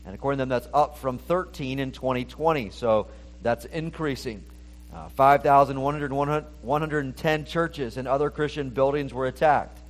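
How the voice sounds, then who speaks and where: A man preaching a sermon, earnest and steady, his voice rising when he reads scripture aloud. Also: mid-range voice, normal speed. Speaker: pastor.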